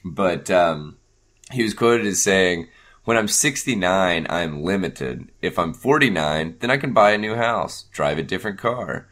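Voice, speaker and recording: A young man co-hosting a podcast in casual chat, laughing often and halting with fillers. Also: deep voice, lively intonation, a bit bright. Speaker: podcast co-host.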